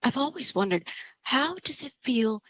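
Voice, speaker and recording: A woman playing a mad, taunting young female killer in a horror table read, mocking and drawn-out. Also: high voice, lively intonation, fairly bright tone, no room sound. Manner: mocking, drawn-out, punctuated with laughter.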